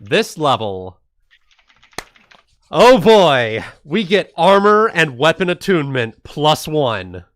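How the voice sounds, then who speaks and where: A man chatting casually as a tabletop game player, playful and quick to exclaim. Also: on the high side, very animated, a bit bright. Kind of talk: casual chat in an online tabletop game session.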